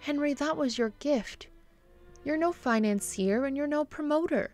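An actress playing a kindly, long-suffering bishop's wife in earnest, gentle period drama style. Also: female voice, sits high, normal speed, faint music behind, natural voice. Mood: pleading. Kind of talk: period radio-drama acting.

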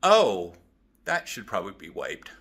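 A man in his thirties chatting casually in a vlog with an animated, jokey manner, recording on a phone at arm's length in an apartment. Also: on the low side, unhurried, breathy edge. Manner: animated, jokey, acts out quotes. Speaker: vlogger. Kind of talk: casual rambling vlog chat. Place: open-plan apartment living room and kitchen.

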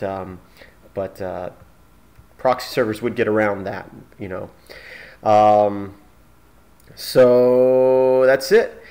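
Male tutorial host, informal and halting, average pitch, thinks aloud while demonstrating. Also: a little mellow.

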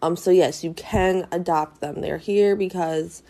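Stuffy-nosed woman touring a shop slowly. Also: on the low side. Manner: chatty, filler-heavy, upbeat and easygoing. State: stuffy nose. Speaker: small business vlogger. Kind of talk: casual shop tour narration. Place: small indoor cat cafe shop. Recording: voice over loud background music.

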